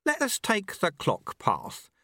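A man's voice: high voice, huge pitch swings, slow pace, sharp tone, no room sound, pitched above the performer's own voice, full character voice. A man puts on a sly old monk master with a raised, sing-song voice that swoops up and down. He teases in a dry, mocking way and never hurries, a storybook sage who enjoys unsettling his pupil. The sound is close and dry. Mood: calm. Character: sly, teasing old male monk and martial arts master. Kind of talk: audiobook character voice.

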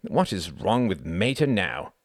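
A man's voice: fairly low pitch, very animated, a little fast, dry recording, studio quality, voice pushed up. Man acting an irritable, theatrical upper-class son, voice raised. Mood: exasperated. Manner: sneering, melodramatic.

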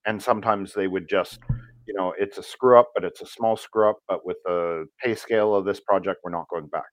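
A man who works as a voice actor, talking casually in an interview and telling stories in a relaxed, rambling way with fillers. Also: sits low, a little fast, no echo. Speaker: voice actor guest.

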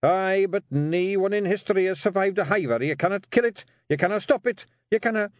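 Man voicing flustered Scottish fairy chieftain, high-pitched. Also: a little fast, dry recording, clean studio sound, lightly acted. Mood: worried, protesting. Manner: exclamatory, excitable, faltering under pressure. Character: blustering, henpecked little fairy clan chief, easily flustered. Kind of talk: audiobook character voice.

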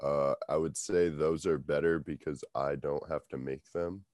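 Casting: young male co-host, extremely deep and slow, congested, dry room. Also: steady tone, a little mellow, no echo. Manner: laid-back, dry humor, short replies. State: stuffy, voice a bit rough. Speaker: podcast co-host. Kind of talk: casual sports podcast chat.

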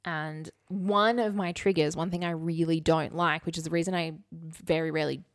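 A woman with a fairly low voice talks casually as a podcast host. Her delivery is wry and candid, slipping between reading and asides, in a dry, close recording. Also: fairly even intonation, moderate pace, no room sound.

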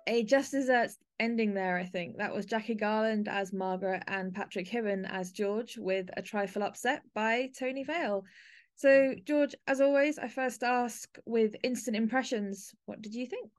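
A woman's voice: a little high, normal speed, soft background music. A woman hosting a podcast, talking conversationally as she interviews a guest. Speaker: podcast host.

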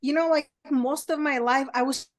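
A woman's voice: high voice, steady tone, moderate pace, no echo, studio quality. A woman with a Czech accent, a guest coach talking at length in a casual interview, full of "like" and "you know". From Czech Republic. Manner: talkative, many "like" and "you know" fillers.

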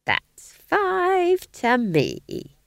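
A woman reading aloud, voicing an earthy, bossy older woman witch with a slow, teasing drawl. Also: medium pitch, wildly swooping pitch, darkish tone, no room sound, voice pushed up, exaggerated voice.

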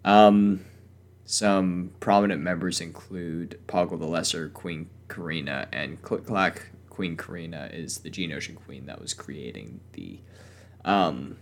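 A man's voice: sits low, gravelly, a little hiss or hum. A young man hosting a casual solo podcast, his voice weak and strained from a cough, talking hesitantly with many fillers and restarts. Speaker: podcast host.

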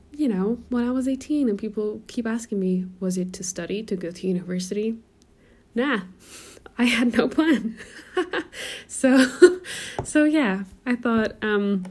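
A woman in her twenties from Germany who grew up in Portugal, speaking with an American-sounding accent. She hosts a solo podcast in a soft, chatty way with many ums, recorded on a phone in a quiet room at home. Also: medium pitch. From Germany, raised in Portugal. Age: 20s. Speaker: podcast host and coach. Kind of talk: casual solo podcast talk.

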